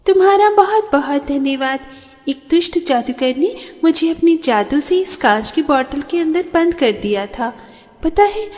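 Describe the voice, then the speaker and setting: Woman playing a small magical butterfly fairy, high voice, earnest, plaintive, cartoon fable. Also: warm tone, in a large hall. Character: tiny trapped butterfly fairy, pleading and grateful.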